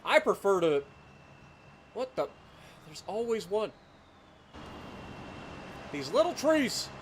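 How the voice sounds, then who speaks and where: A man's voice: high voice, big pitch swings, slow, slight background noise. A man from Ohio with a mild Appalachian accent, chatting casually with viewers while streaming a game. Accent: Appalachian (Ohio foothills), mild. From Ohio, USA. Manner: laid-back, chatty, talks to chat viewers by name. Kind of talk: casual live-stream chat while playing.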